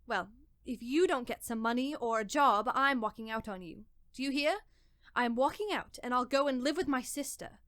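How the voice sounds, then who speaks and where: Lady doing a fed up sarcastic wife, nagging, voice a bit high, audio drama, no room sound. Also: moderate pace, fairly bright tone, dry recording, clean studio sound, natural voice. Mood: bitter, scornful. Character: fed-up, bitter wife of a poor man.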